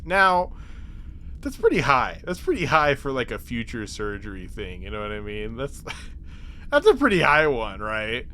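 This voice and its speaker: A man co-hosting a podcast, chatting casually and profanely in an animated, jokey way, with a slight lingering cough. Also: medium pitch, slight background noise. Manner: animated, jokey, acts out mock quotes, laughs often. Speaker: podcast co-host. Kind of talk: casual, profane episode recap chat.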